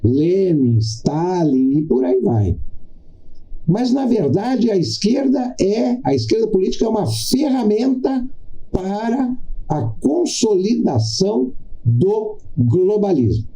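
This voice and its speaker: Slow, dark-voiced Brazilian man, lecturing. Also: high voice, big pitch swings, poor audio. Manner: didactic, deliberate, emphatic with repetition and pauses. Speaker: podcast host. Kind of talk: political commentary monologue.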